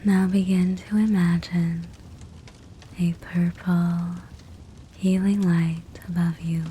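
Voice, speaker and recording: A woman guiding a sleep meditation in a soft, breathy whisper, gentle and soothing, with measured phrasing and long pauses. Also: on the low side. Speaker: sleep hypnosis meditation guide. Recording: steady fireplace crackle behind voice. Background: fireplace sounds.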